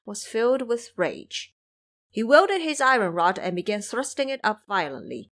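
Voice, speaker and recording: A woman narrating a scripted audio drama in an expressive storybook manner. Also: mid-range voice.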